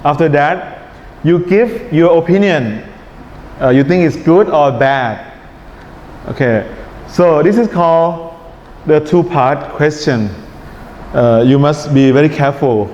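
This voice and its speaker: A man with a Thai accent teaching an IELTS writing class in a relaxed lecture style, often checking in with his students. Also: on the high side, takes it slowly, pauses often. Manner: relaxed, checks in with "right?" and "okay?". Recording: echoey hall, dull sound, faint hiss.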